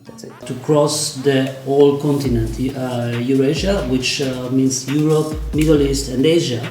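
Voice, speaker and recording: Man in his forties, mellow and echoey. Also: mid-range voice, fairly even intonation, normal speed, faint music behind. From Italy. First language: Italian. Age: 40s. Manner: hesitant, many uh fillers, laughs easily. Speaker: interviewee, overlander. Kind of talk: casual interview.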